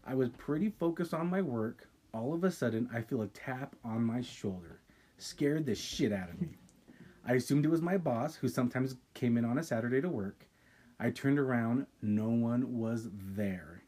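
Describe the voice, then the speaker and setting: A man co-hosting a casual podcast, reading a listener's ghost story aloud with dramatic pauses and stopping to react. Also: medium pitch, lively intonation, mellow. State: sipping wine. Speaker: podcast co-host.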